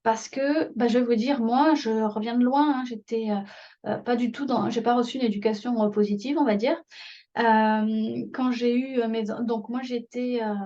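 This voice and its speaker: A French-accented woman, a workshop trainer, talks warmly and loosely to her viewers, stopping and restarting her sentences often. Her voice sits somewhat high. The recording is dull and lo-fi. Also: fairly even intonation, normal speed. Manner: chatty, many fillers and restarts. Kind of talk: casual talk to camera.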